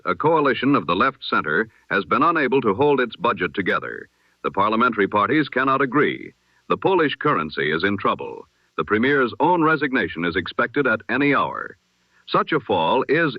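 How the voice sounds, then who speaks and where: A man reading a history broadcast in the style of an old-time radio newsreader, measured, solemn and formal. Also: on the low side, no room sound, dull audio.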